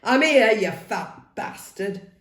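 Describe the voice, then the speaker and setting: A woman's voice: medium pitch, lively intonation, takes it slowly, a bit bright. A woman voicing a cocky, scrappy apprentice lad who spits defiant insults in a dramatic story reading. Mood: defiant, sneering. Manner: spitting, sneering insults. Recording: roomy, echoing sound.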